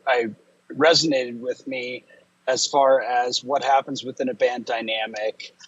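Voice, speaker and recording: A man co-hosting a podcast who grew up speaking Estonian, telling stories in a halting, casual way with many restarts. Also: medium pitch, little pitch movement, breathy edge, no echo, highs cut off. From United States. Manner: halting, restarts often, storytelling. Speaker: podcast co-host. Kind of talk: casual chat.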